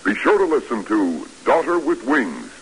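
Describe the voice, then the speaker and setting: An American man announcing on a 1950s radio program in a formal, measured, scripted delivery. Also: mid-range voice, sing-song, slight background noise, poor audio. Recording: old radio broadcast recording.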